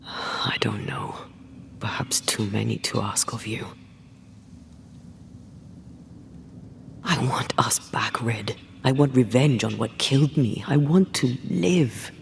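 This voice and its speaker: An actress playing a solemn ghost woman, a slain ghost-hunter, in a video game cutscene, speaking gravely and often dropping to a hush. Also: rumbling low, measured pace, slight background noise, exaggerated voice. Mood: longing.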